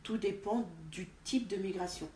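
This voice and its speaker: A woman from France, a guest in an interview, speaking deliberately with frequent restarts. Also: mid-range voice, mellow. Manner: deliberate, halting with many restarts. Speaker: interview guest, party official. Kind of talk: conversational interview. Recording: echoey room with faint background noise.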